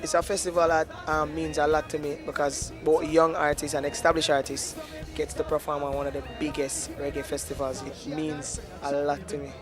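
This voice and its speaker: A man gives a casual interview answer at a festival. His pitch is a touch high and very even, and music plays clearly behind him. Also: a little fast.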